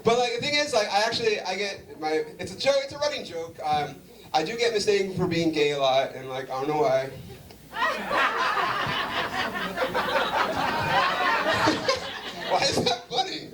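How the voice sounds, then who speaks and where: A man's voice: high-pitched, a little slow, pauses often. A man from Southern California doing a loose, chatty stand-up set and bantering with a live audience. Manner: animated, chatty, loose with fillers, banters with the crowd. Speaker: stand-up comedian. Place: comedy venue before a live audience. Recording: roomy live venue recording from a distance with crowd noise. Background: audience laughter.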